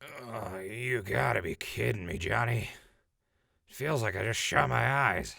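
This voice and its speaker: Man playing a deep, gravelly, wry wasteland wanderer. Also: measured pace, bright, forceful tone, dry recording, studio quality, exaggerated voice. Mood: groggy. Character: dry, wry, unfussy male wasteland crew member. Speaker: voice actor. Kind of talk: post-apocalyptic audio drama acting.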